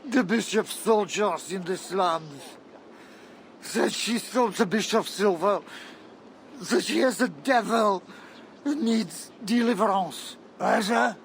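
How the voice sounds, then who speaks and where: A man's voice: high voice, slow, creaky, full character voice. An actor playing a distraught, drunken father in a video game, rambling in a broken, plaintive way. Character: distraught drunken father mourning his taken daughter. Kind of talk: video game character acting. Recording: some background ambience noise.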